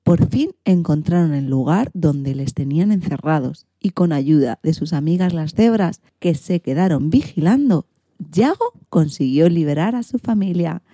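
Female children's storyteller, expressive and deliberate, soft mellow tone, dry close sound. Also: sits low, dry recording. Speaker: narrator. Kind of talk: children's radio story narration.

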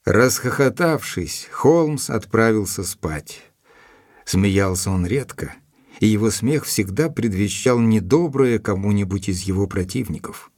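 An actor playing a loyal gentleman companion who narrates a detective audio drama in a measured, composed way. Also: medium pitch, no echo, studio quality.

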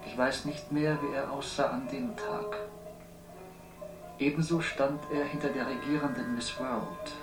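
A man's voice: mid-range voice, steady tone, measured pace, lightly acted. Actor as a declaiming, brooding man over music. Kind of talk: 1960s German radio drama recitation. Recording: echoey studio, music underneath.

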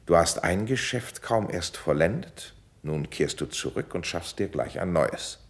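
Calm commanding prince, low voice, courtly measured verse, classical radio drama. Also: male voice. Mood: calmly commanding. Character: calm, authoritative prince, fatherly mediator of quarrels.

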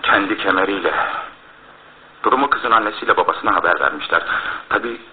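A man's voice: deep voice, brisk, natural voice. A man playing a sober, businesslike police investigator who reports matter-of-factly in a radio drama. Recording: tinny, noisy old radio drama recording.

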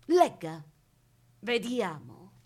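A woman's voice: mid-range voice, big pitch swings, very slow, darkish tone, heavily acted. An actress playing a childish, eccentric woman who keeps a captive, in theatrical radio drama with a whimsical, sing-song delivery. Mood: eerie, playful.

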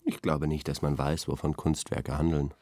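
An earnest male art critic in a radio play discussion. He speaks in a low, dry-recorded voice and lectures with pompous certainty. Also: little pitch movement, moderate pace, darkish tone, dry recording. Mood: measured, argumentative. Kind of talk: radio drama played as studio discussion.

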